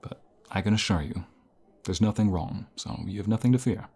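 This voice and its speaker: A man playing a caring university professor in an ASMR roleplay, speaking in a calm, reassuring and gentle manner. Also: on the low side, fairly quick, breathy edge. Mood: soothing reassurance. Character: caring male university professor comforting a burnt-out student. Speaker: voice actor.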